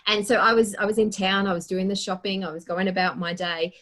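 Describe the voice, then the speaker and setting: A woman's voice: mid-range voice, little pitch movement, brisk, fairly bright tone. A woman, a performance coach hosting an interview and telling stories in a conversational way.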